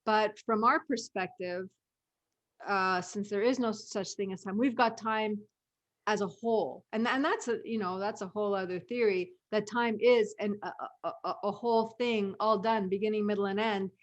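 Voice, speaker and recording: A woman in a casual panel discussion, talking in a halting, musing way. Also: mid-range voice, fairly even intonation. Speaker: writer and panel guest.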